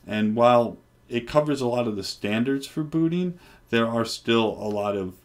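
A man in his forties on a headset mic, casually talking through a software install with many ums and restarts. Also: medium pitch, expressive, normal speed. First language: English. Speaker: developer presenting hardware. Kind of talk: casual screen-recorded tech demo. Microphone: headset microphone.

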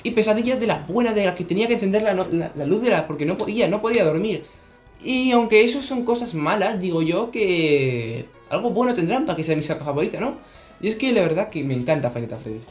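A man's voice: sits high, animated, fast talker, soft background music. A teenage boy with a Spanish accent from Spain, chatting casually to camera as a gaming vlogger in his bedroom, jokey and rambling. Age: teens. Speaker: gaming youtuber. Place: bedroom, indoors. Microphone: camera on desk, close framing.

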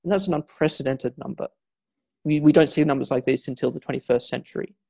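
A man's voice: medium pitch, quick pace, vocal fry, dark tone, dry recording. A man who is a historian, giving long, discursive answers in an interview, with frequent hesitations and restarts. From not from Scotland; Scottish family. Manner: discursive, many fillers and restarts. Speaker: historian guest.

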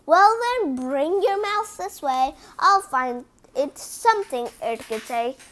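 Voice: high voice, animated, takes it slowly, a bit bright, full character voice. A reader voicing a pushy, teasing male fox from a storybook in an insistent, coaxing way.

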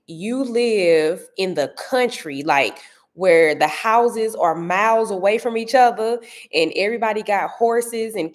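A woman in her thirties with a St. Louis accent, chatting casually to viewers from her dining room, animated and often searching for words. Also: mid-range voice. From Saint Louis area. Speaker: vlogger answering viewer comments. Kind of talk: casual chat.

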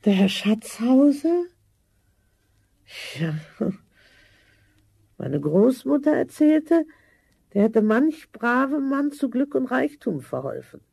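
A woman playing a worried, homely older mother in a 1970s fairy-tale audio drama, speaking in a halting, motherly way. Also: high-pitched, big pitch swings, vocal fry, warm tone, breathy edge, no room sound, full character voice. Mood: reminiscing.

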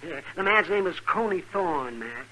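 An actor playing a fussy, kindly frontier doctor in a 1950s radio western, chatty and folksy. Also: male voice, on the high side, expressive, normal speed, pushed and bright. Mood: folksy, brisk. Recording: noisy old 1950s radio recording.

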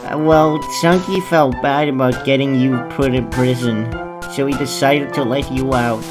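A woman's voice: very deep voice, steady tone, normal speed, over music. A woman voicing a young cartoon fox, a matter-of-fact messenger, in a comic dub. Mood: calm, matter-of-fact.

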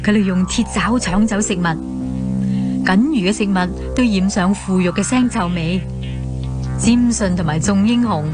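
A woman narrates a radio drama in a Hong Kong accent. She tells the story in a mid-range voice, with loud music playing behind her. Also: medium pitch, moderate pace.

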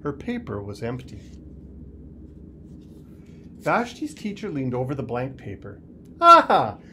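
Middle-aged man, unhurried picture book reading, very animated pitch, office recording. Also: background noise. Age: 50s. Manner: deliberate, expressive storytelling. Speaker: school superintendent reading aloud. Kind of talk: children's picture book read-aloud. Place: small office, indoors.

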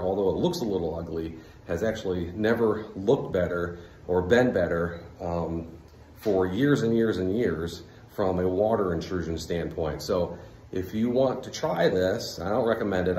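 Low-voiced man in an echoey basement. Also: moderate pace. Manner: relaxed, rambling, many fillers. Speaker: homeowner vlogger. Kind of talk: casual DIY walkthrough. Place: concrete basement, indoors. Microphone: phone at arm's length. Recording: echoey basement with faint hum.

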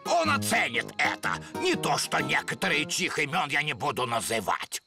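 A man voicing a boastful, excitable bearded elf pirate in a cartoon, theatrical and exclaiming. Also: on the high side, moderate pace, vocal fry, exaggerated voice. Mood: proud, huffy. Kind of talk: cartoon dub acting. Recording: music behind the voice.

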